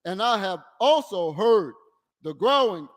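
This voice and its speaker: High male scripture reader in reverberant hall. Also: expressive. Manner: measured, deliberate, phrase by phrase. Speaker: scripture reader assisting the preacher. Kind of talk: formal reading aloud of Bible verses. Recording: long hall reverb, distant-sounding.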